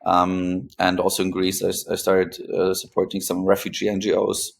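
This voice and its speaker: Low monotone guy, podcast guest talking haltingly, pauses a lot. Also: gravelly, slight background noise. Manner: hesitant, pausing often between phrases. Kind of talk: interview conversation, recounting own experience.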